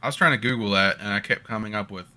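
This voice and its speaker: A man's voice: lowish voice, racing, bright, forceful tone. A man co-hosting a live talk show, chatting casually with a wry tone. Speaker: co-host. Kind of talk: casual live radio chat.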